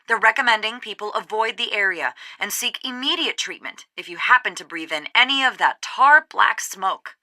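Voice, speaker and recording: Game news reporter: woman, higher-pitched, polished and measured, slightly wry, recorded close and dry. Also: sharp tone, no echo. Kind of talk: scripted news broadcast.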